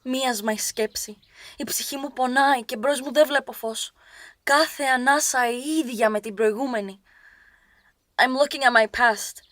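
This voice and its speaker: A woman playing a trapped, brooding princess of Greek myth in an intense, deliberate, poetic theatrical monologue. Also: sits high, fairly bright tone, no room sound. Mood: weary longing. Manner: slow, intense, deliberate delivery.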